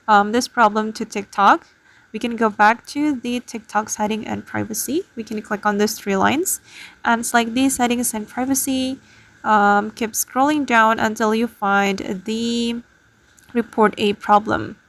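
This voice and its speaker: A woman's voice: fairly high pitch, a bit bright. A woman hosting a casual tutorial, explaining things step by step to her viewers. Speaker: tutorial host. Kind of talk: casual spoken screen tutorial.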